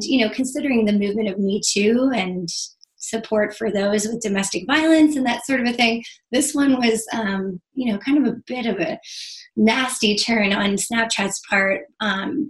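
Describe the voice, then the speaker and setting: A woman's voice: on the high side, normal speed, rough recording. A woman guest on a podcast, talking through examples in an easygoing, conversational way. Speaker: podcast guest, PR account executive. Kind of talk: casual podcast discussion.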